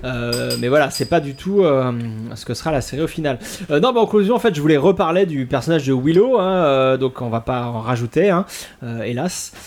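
A man's voice: mid-range voice, animated, fairly quick, a little mellow. A man from France hosting a casual podcast chat, hesitant with many fillers and restarts. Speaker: podcast host.

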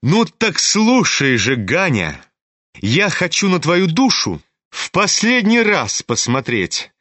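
Man reading as a proud reckless young woman, bossy and mocking, slow, dry close sound. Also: on the high side, huge pitch swings, sharp tone, no room sound, exaggerated voice. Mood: taunting, commanding. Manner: imperious, taunting. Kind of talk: audiobook reading.

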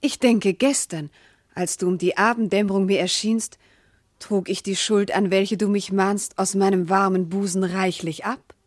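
A proud noble wife, played by an actress, declaims classical radio drama verse with wounded dignity. Her voice is of medium pitch, formal and impassioned, recorded very dry. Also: fairly even intonation, normal speed, dry recording, lightly acted. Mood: earnest, tender.

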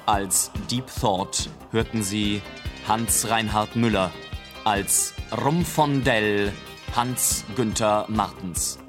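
A man's voice: lowish voice, takes it slowly. A man announcing for a radio drama, reading scripted credits. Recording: music under the voice.

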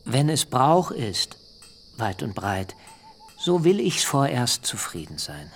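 An actor playing a young bridegroom who recalls his wedding day, speaking in a slow, measured, reflective way in a German radio drama. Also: mid-range voice, big pitch swings, vocal fry, exaggerated voice. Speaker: character in a radio play.

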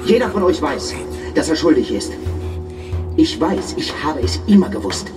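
A man's voice: on the high side, very animated, normal speed, distant mic, over music. An actor playing a bitter, menacing poet who commands and accuses his comrades in a German radio drama. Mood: furious.

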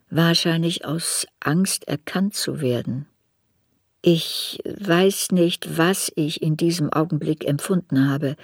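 An actress playing an older woman writer who soberly looks back on her youth in a measured, reflective radio drama narration. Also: low voice, a little mellow, dry recording, clean studio sound, full character voice. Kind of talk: literary radio drama narration in first person.

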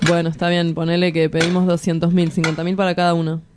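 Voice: medium pitch, flat delivery, quick pace, noisy background, close to an everyday voice. An actor with an Argentine accent playing a cocky, romantic kidnapper in an audio drama, teasing and breezy. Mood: breezy scheming. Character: cocky young male kidnapper, sly romantic schemer. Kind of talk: dramatic dialogue acting.

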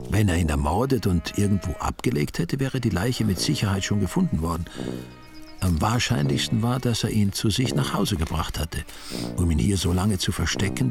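A man's voice: deep voice, gravelly. A male narrator reading a radio drama in a dry, wry way.

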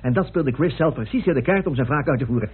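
A man acting in a Dutch radio play as a brisk, excitable gentleman on a committee. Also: medium pitch, breathy edge. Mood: eager. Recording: tinny, faintly noisy old radio recording.

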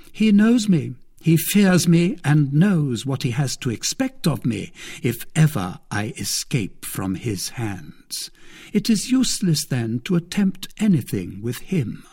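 A desperate, scheming noblewoman held captive, as a male narrator puts her on, pitched well above his own voice. She speaks slowly and with seething intensity. The voice has a husky, breathy grain. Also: mellow, full character voice. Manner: intense, slow, seething delivery.

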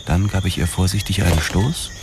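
An actor playing a police detective who narrates a grim crime radio drama in a sombre, deliberate, terse way. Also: male voice, low voice, steady tone, very noisy, lightly acted. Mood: grim, tense. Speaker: first-person narrator.

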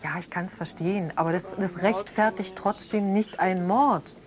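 Thoughtful, halting woman, mid-pitched actress. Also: fairly even intonation, natural voice. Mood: earnest, troubled. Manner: thoughtful, searching for words, halting. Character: reflective woman, close friend of an accused killer. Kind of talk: improvised naturalistic interview drama.

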